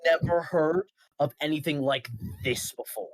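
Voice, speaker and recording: A game master narrating an improvised tabletop game, sometimes halting to search for words. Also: mid-range voice, dry recording, low-quality recording.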